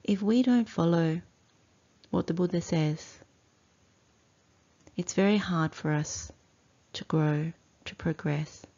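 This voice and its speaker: A woman leads a guided meditation in a low, mellow voice. She speaks very slowly and deliberately, setting out short phrases with long pauses between them, as a teacher instructing students. The recording is slightly dull.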